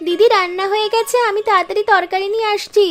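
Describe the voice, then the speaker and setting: A woman plays a young flower-selling girl in a cartoon fable. Her voice is extremely high and sweet, and she speaks earnestly and fondly, like a devoted little sister. Music plays underneath. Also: fairly even intonation, quick pace. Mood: eager. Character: eager, affectionate young younger sister, poor flower seller. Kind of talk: Bengali cartoon moral-story dialogue.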